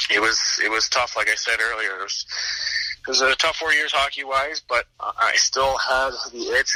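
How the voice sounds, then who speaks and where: A man's voice: medium pitch, bright, forceful tone, no echo, low-quality recording. A man in his twenties from the Washington DC area, a pro hockey player telling his story easygoingly in a podcast interview, repeating words as he thinks. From Washington DC area, United States. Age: 20s.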